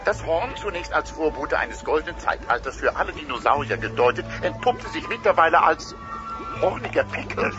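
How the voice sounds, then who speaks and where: A man's voice: fairly high pitch, big pitch swings, moderate pace. A man playing a dramatic television news reporter, giving a mock bulletin in a German audio play. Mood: sensational. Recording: lo-fi broadcast sound over music.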